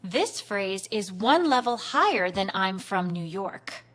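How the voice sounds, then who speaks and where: A woman teaching a beginner English class, speaking clearly, patiently and encouragingly in a scripted lesson. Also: medium pitch, sing-song, takes it slowly, fairly bright tone.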